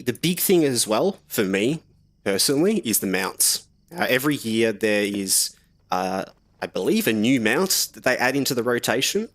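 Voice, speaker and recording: A young man casually talking through a game on a live stream, rambling with lots of fillers while slightly sick and sniffly. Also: medium pitch. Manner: relaxed, rambling, many fillers.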